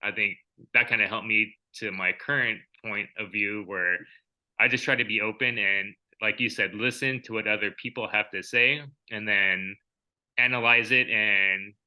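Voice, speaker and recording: A man's voice: fairly low pitch, fairly even intonation, normal speed, highs cut off. A young adult man co-hosting a podcast, talking in a reflective, rambling way with many fillers. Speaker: podcast co-host. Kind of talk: casual chat.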